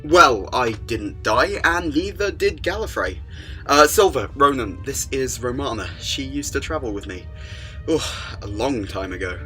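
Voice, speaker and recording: A man's voice: medium pitch, lively intonation, measured pace, bright, forceful tone. A man playing a quirky alien time-travelling adventurer in an audio drama, theatrical, with stammers and emphatic bursts. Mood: thoughtful. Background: music.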